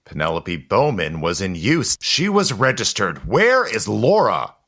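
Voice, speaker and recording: An actor playing a commanding gentleman suitor in a period audio drama, formal and clipped in manner, suspicious and grieving. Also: fairly low pitch, huge pitch swings, measured pace, exaggerated voice. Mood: suspicious, menacing.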